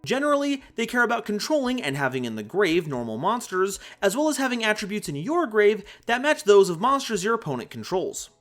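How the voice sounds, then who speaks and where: Male explainer host, high-pitched and very lively, playful emphasis, music underneath. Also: a little fast, few pauses. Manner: animated, playful, emphatic delivery. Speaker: host of a card game analysis video. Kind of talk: scripted explainer narration with jokes. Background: background music.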